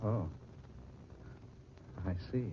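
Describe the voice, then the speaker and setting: An actor playing an urbane, smug gentleman lover in an old radio drama, with genteel, hesitant, affected phrasing. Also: extremely slow, a little hiss or hum. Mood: uneasy politeness. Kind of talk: 1940s radio drama acting. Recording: dull old radio recording with music.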